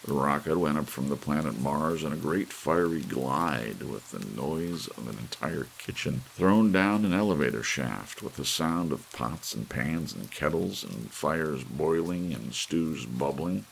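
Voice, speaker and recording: A man with a gravelly voice reading an audiobook story in a soft ASMR narration style. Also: extremely low pitch, lively intonation, unhurried, hardly any pauses, rough recording. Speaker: audiobook reader. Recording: faint hiss under the voice. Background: soft rain.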